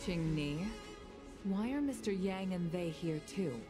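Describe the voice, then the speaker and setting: A woman's voice: on the low side, expressive, measured pace, over music. An actress voicing a stern, dignified, weary mother in a video game, speaking formally and with measure. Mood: formal, composed.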